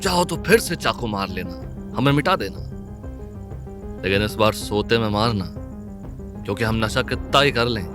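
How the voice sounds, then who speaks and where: An actor playing a fearless, proud man in an audio drama, with a provoking, swaggering manner. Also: medium pitch. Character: defiant, fearless young male crime-drama lover, taunting and proud.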